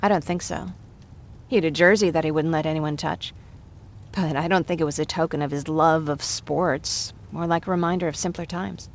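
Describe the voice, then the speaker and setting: Young female survivor, low register, warm, self-deprecating game dialogue over rumbling noise. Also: steady tone, normal speed. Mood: wistful, chatty. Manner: chatty, self-deprecating, apologetic. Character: warm, joking young woman survivor sheltering refugees. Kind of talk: video game dialogue acting.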